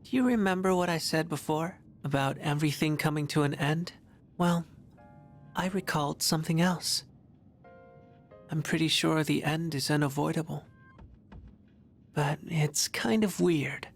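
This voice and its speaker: A woman voicing a gentle, mysterious, curious young boy in a video game, speaking softly in measured phrases. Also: low voice, soft background music. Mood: calm, ominous.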